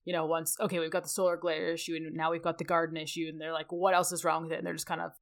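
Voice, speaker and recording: Female podcast co-host, Canadian accent, lower-pitched voice, casual conversational explainer. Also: little pitch movement, racing. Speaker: mechanical engineer.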